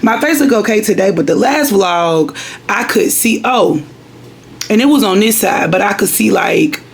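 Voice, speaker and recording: Woman vlogger, raspy and gravelly, rambling and chatty. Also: mid-range voice, animated, normal speed. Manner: rambling, chatty, direct with viewers. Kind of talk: casual chat to viewers. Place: at home at her vanity.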